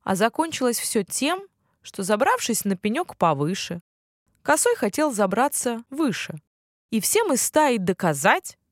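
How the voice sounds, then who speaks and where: A woman narrating a children's bedtime fairy tale as an expressive storyteller. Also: a little high, unhurried, no echo, clean studio sound.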